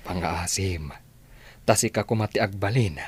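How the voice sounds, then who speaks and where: An actor playing an earnest widowed father courting a woman in an Ilocano radio drama, with a husky, breathy voice. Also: sits low, moderate pace. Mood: earnest, pleading.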